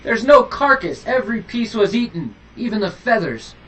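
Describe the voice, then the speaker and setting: A man's voice: high-pitched, very animated, normal speed, fairly bright tone, far from the mic, pitched above the performer's own voice. A man voicing a grim, alarmed older father in a horror story, with dramatic tense delivery. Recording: noisy, with added ambient sound effects.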